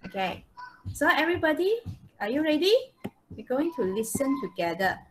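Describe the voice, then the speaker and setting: High-voiced Malaysian woman teaching online. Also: big pitch swings, moderate pace, fairly bright tone. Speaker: English teacher. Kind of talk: live online lesson, instructing students. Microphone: video call microphone. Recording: noisy online video call.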